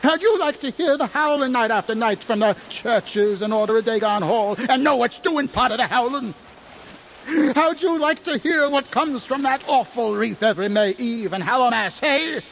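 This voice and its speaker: A crazed old drunk from a town in a horror radio play. His voice is high and cackling, his talk folksy, rambling and agitated. Faint ambience sits behind him. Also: moderate pace, fairly bright tone, a little hiss or hum. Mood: raving, hysterical. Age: older. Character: crazed, frightened old drunken New England townsman. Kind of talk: audio horror drama acting.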